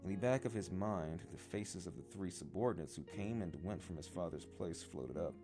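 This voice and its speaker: A man reading a novel aloud as a storyteller, expressive and shifting voices for the characters. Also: deep voice, moderate pace. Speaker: audiobook reader. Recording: guitar music behind the voice. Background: guitar music.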